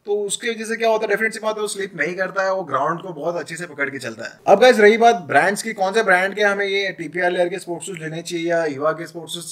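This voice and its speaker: A man's voice: high-pitched, fast, hardly any pauses, fairly bright tone. A man in his thirties with an Indian accent giving casual, chatty advice in a vlog, explaining things and mixing in English phrases. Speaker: footwear dealer and vlogger. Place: small indoor room. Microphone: camera at arm's length.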